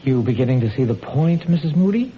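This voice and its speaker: A man's voice: medium pitch, expressive, fast talker, lightly acted. An actor in an old-time radio drama playing a sly, needling henchman with a familiar, falsely friendly manner. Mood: sly, insinuating. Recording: dull, noisy old radio recording.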